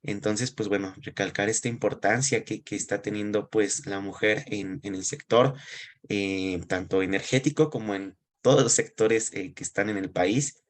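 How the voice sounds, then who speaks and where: Low-pitched male interviewer, halting. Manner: hesitant, halting phrasing. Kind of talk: conversational interview hosting.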